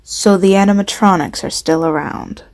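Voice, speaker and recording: A woman's voice: medium pitch, moderate pace, a little mellow, close to an everyday voice. Girl voicing a friendly young woman, apologetic, chatty.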